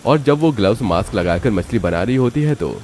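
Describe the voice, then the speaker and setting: Male narrator for animated family tales, low register, scripted storytelling delivery. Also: brisk, a little hiss or hum.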